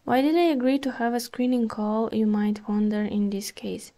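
A woman reads her own article aloud for a podcast, in a calm, measured pace with the odd "uh". Her voice sits a little high but sounds mellow and soft rather than bright. She is recorded close on the mic. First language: Bulgarian. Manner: calm, measured reading with small hesitations. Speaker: podcast host.

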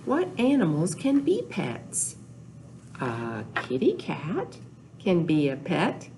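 An older woman hosts a storytime for young children with rhymes and counting. She speaks very slowly in a sing-song, repetitive way, with pitch that moves up and down a lot. There is some background noise behind her. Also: huge pitch swings, mellow. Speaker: children's librarian, storytime host. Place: small indoor room with whiteboard.

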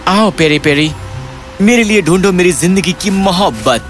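A man voicing an earnest, excitable, lovelorn young poet in a cartoon fairy tale, with dramatic delivery. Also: high voice. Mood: cheerful resolve. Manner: dramatic, pleading, repeats himself. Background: music.

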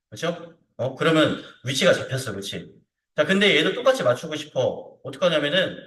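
A man teaching a hands-on software lesson step by step, informally, often checking with short tag questions that the learner is following. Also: a little high. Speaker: CAD instructor. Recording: roomy echo, distant microphone.

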